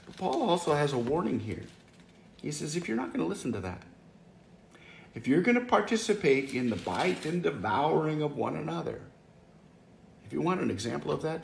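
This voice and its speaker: Middle-aged male pastor, earnest and conversational, gravelly, in a reverberant room with faint hiss. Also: mid-range voice. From Wisconsin, US. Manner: earnest, conversational, frequent restarts. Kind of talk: home devotional talk. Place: living room by a fireplace, indoors. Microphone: camera or phone close up, seated.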